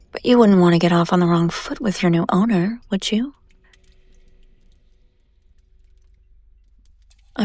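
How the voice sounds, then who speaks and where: A seductive female demon, acted by a woman, speaks in a low, close and dry voice. She taunts her captive with teasing condescension and often drops into a breathy whisper. It is an intimate audio roleplay aimed straight at the listener. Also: a little mellow, dry recording. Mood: coaxing, threatening.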